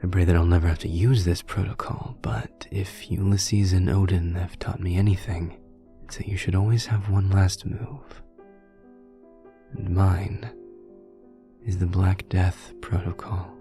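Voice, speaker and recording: A man playing a grave, resolute warrior king, delivering a solemn, deliberate dramatic monologue. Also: low-pitched, flat delivery, dark tone, faint music behind. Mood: grave, resolved. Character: grave, resolute male warrior king reading his testament.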